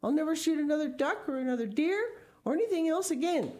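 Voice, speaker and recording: A woman's voice: high-pitched, animated, unhurried, darkish tone, voice pushed up. A woman voicing a frightened, pleading middle-aged man, a reformed hunter in a storybook, begging emphatically. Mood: desperate pleading.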